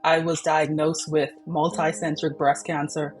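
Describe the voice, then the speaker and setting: A woman's voice: low voice, little pitch movement, unhurried. A young woman from the Bahamas, candidly telling her own patient story in a chatty way. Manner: chatty, frequent "like" and "you know" fillers.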